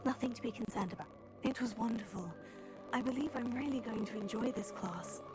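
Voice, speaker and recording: An actress voicing a polite, eager young female student witch in a video game, measured and well-spoken. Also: fairly high pitch, close to an everyday voice. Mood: pleasant. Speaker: player character voice in the game. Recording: game audio with music under it.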